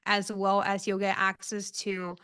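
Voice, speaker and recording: A woman's voice: mid-range voice, measured pace, smooth. A woman from the United States, a guest author, talking in casual interview chat with many fillers. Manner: bright, animated, uses fillers like "like" and "um".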